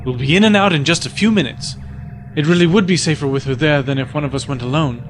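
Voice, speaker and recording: A man playing a calm, reassuring tech expert who explains things matter-of-factly in an audio drama. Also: fairly high pitch, fast, soft background music, natural voice.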